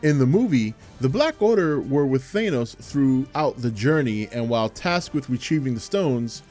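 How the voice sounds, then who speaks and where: A man's voice: mid-range voice, lively intonation, normal speed, darkish tone. A man hosting a video with scripted narration. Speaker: video host. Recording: music behind the voice. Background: music.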